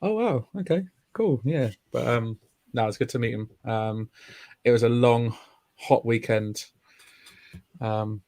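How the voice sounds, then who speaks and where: A man hosting a podcast in casual chat, raspy from a chest infection. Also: medium pitch, unhurried, pauses often, a little mellow, dry recording. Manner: chatty, friendly, many ums. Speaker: podcast host. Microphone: remote call mic.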